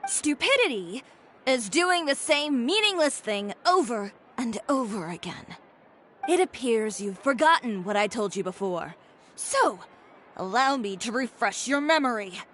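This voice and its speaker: An actress playing a composed, proud dressmaker who talks calmly with dry, cutting sarcasm. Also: female voice, on the high side, measured pace, slight background noise.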